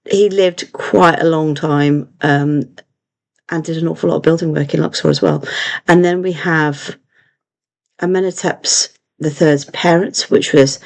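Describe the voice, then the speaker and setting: A woman's voice: sits low, creaky. A woman hosting a live online history lesson, chatty with many fillers and self-corrections, her voice a little throaty today. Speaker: online history tour host.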